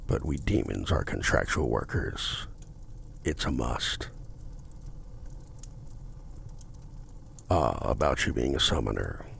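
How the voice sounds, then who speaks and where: An extremely deep, heavily gravelly male demon, acted by a man for an ASMR roleplay, drawing out his words slowly. He is smug, possessive and menacing, with a formal, seductive way of bargaining. Faint noise sits behind the voice. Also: heavily acted. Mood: smug, businesslike. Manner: slow, deliberate, formal, domineering. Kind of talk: intimate ASMR roleplay acting.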